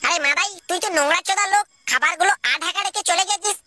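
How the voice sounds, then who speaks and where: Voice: very high-pitched, fast, sharp tone. A performer voicing a cheeky, greedy, foul-mouthed schoolboy with a Bengali accent in a comic cartoon parody dub. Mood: sly, greedy.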